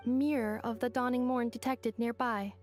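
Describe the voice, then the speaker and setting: A woman acts as a courteous female android in a game. Her voice sits fairly high. She is formal and measured, reporting everything with logical, factual calm. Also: faint music behind, natural voice. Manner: measured, formal, matter-of-fact. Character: polite, logical female robot companion. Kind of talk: video game voice acting.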